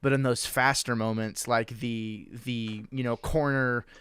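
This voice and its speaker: Casting: young man, podcast host, smooth and clear voice, dry close sound, loose and jokey. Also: mid-range voice, steady tone, a little slow, a bit bright, no room sound. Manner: loose and joking, with many restarts. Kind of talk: casual podcast chat.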